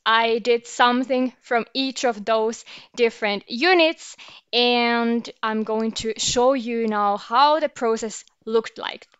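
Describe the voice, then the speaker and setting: A woman's voice: fairly high pitch, fairly bright tone, smooth, muffled recording. A woman with a Finnish accent, a native Finnish speaker and teacher, reviewing a language app and explaining as she goes. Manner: explains and comments while trying the app. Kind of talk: app review, talking to camera.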